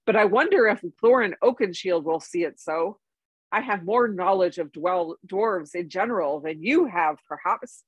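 A woman's voice: lowish voice, lively intonation, moderate pace, breathy edge, no room sound, poor audio. A woman reading a storybook, playing a dignified, courteous male elf king with a stately, formal manner. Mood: gracious, admiring.

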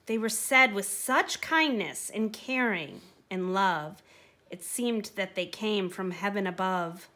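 Slow, bright woman reading a storybook. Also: medium pitch, expressive. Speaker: storybook reader. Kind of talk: expressive read-aloud of rhyming children's picture book.

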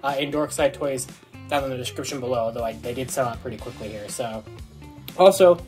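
A man's voice: medium pitch, brisk, a little mellow, faint music behind. A young man giving a casual, enthusiastic unboxing review to camera in an informal, chatty way. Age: young adult. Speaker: toy review vlogger. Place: small dorm bedroom, indoors.

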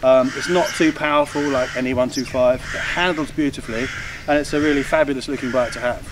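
A man's voice: medium pitch, steady tone, a little fast. An older man co-hosting a motorcycle review, talking casually outdoors in a churchyard, explaining with frequent ums. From England. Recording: distant outdoor mic with clear background noise.

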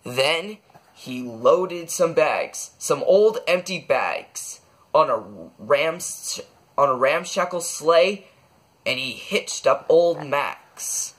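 A man reading a children's bedtime story aloud, expressively, with dramatic pauses. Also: fairly high pitch, animated, a bit bright, husky. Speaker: storybook reader.

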